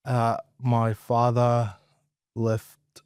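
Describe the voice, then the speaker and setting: A man's voice: medium pitch, monotone, extremely slow, a little mellow, smooth, dry recording, studio quality. A middle-aged man with an Australian accent, telling his story casually as an interview guest. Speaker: interview guest, investment professional.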